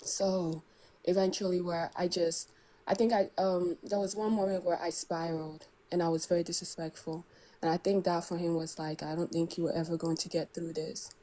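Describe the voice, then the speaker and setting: A woman's voice: lowish voice, little pitch movement, moderate pace, dark tone, rough recording. A woman calling in for advice, telling her story hesitantly with many "um"s and restarts. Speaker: caller seeking advice.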